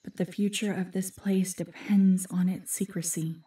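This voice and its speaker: A woman playing a solemn, guarded forest guardian spirit in a fantasy audio drama, speaking formally and in measured, breathy half-whispers. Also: lowish voice, fairly even intonation, warm tone, recorded from a distance, natural voice. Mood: grave, instructing.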